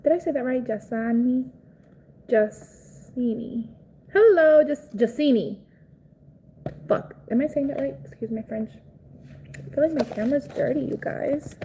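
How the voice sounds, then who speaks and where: A young woman from Cuba, raised in Florida, chatting casually on a live stream, greeting viewers by name and reacting excitedly. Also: sits high, a little slow, pauses often, a little hiss or hum, rough recording. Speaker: livestream host.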